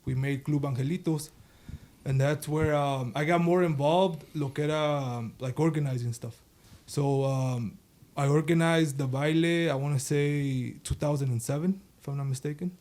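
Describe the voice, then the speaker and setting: A man's voice: a little high, normal speed, a little mellow. A man in his thirties from the Chicago suburbs, a party promoter chatting casually and switching between English and Spanish. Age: 30s. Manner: mixes English and Spanish, laughs often. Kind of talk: casual podcast chat.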